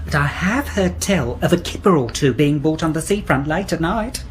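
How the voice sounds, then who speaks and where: A man's voice: fairly high pitch, animated, normal speed, a little hiss or hum, natural voice. A man playing a smarmy estate agent. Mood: sly. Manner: smooth, ingratiating sales patter. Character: smarmy male estate agent, showy salesman. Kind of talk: radio drama acting.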